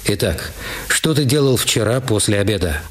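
A man playing a calm police inspector. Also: fairly low pitch, normal speed, slight background noise, natural voice. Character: calm, authoritative middle-aged male police inspector. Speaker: police inspector questioning a witness. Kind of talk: radio play acting. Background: music.

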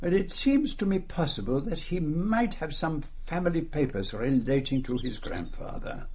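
Belgian French accent, fussy courteous detective, pompous, husky, lively intonation, radio drama. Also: male voice, on the high side, moderate pace, dry recording. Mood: courteous, evasive. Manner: polite, precise, slightly pompous. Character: courteous, self-satisfied middle-aged foreign detective, a man.

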